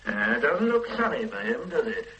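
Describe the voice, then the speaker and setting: A brisk, self-assured detective in a radio drama, quick to dismiss any doubt. His voice sits mid-range but bright and lively in its rises and falls. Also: medium pitch, muffled recording, a little hiss or hum. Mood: dismissive. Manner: brisk, dismissive, matter-of-fact. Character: confident male police detective, brisk and certain. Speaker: senior police detective.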